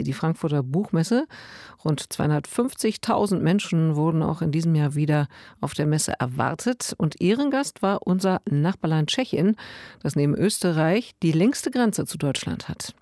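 A radio presenter announcing music and introducing a culture report in a smooth, conversational way. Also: mid-range voice, a little mellow, no room sound, studio quality.

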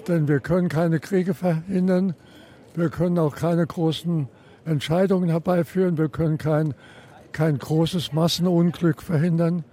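A man speaks slowly and thoughtfully in an interview statement. His voice sits a bit high and mellow. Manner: thoughtful, deliberate. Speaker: award recipient.

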